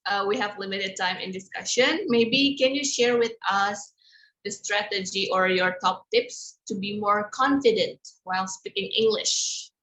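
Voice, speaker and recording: Bright-voiced woman in an echoey room. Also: mid-range voice, unhurried. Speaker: student asking a question.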